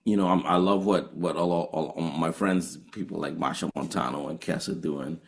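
A man's voice: low voice, moderate pace. A man with a Trinidadian accent, a touring jazz musician answering interview questions earnestly, often restarting his phrases.

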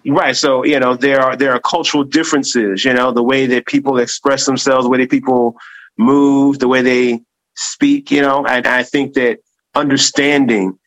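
A man's voice: mid-range voice, steady tone, normal speed, fairly bright tone, no echo, poor audio. A man hosting a radio interview, talking casually, restarting and repeating words often while encouraging his guest. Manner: encouraging, complimentary toward guest. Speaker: radio host.

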